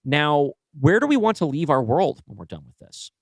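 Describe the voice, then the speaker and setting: A man co-hosting a podcast, brainstorming casually and thinking aloud in halting, self-correcting bursts. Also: fairly high pitch, very animated, no echo, clean studio sound. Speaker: podcast co-host and writer.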